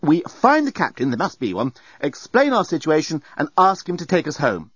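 A man voicing a level-headed, sharp-tongued young woman in a comic audiobook, with a firm, reasoning manner. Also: high-pitched, wildly swooping pitch, normal speed, husky, dry recording, muffled recording, voice pushed up, exaggerated voice.

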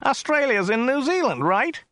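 A man voicing a large, dim, chatty limo driver in an audiobook, with a high, whiny, nasal voice and an obtuse, rambling manner. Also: big pitch swings, moderate pace, pushed and bright, no room sound, heavily acted. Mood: obtuse, curious.

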